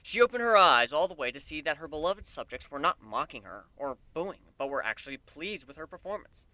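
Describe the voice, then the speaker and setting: A man's voice: a little high, very animated, brisk, pushed and bright, no echo, low-quality recording. A man giving a dramatic first-person reading of a story as its narrator.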